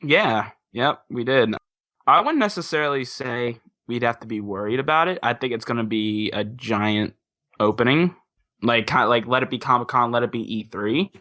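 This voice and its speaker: A man talks casually as a panelist on a podcast, with a bright, forward voice at an average pitch. He tends to repeat phrases and pad them with fillers as he makes his point. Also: expressive, moderate pace. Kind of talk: casual chat.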